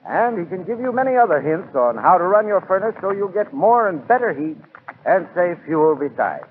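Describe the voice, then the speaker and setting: A man's voice: sits high, sing-song, normal speed. A man giving instructive advice in a scripted 1940s radio commercial. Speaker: advertising spokesman. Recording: tinny, hissy 1940s radio recording.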